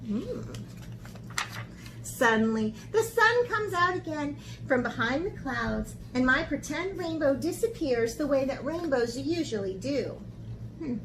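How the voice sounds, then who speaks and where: A woman art teacher talking to young children in an expressive, encouraging way, as in a lesson or picture book read-aloud. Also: high-pitched, measured pace, a little hiss or hum, low-quality recording. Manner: expressive, encouraging talk aimed at young children, with dramatic gasps.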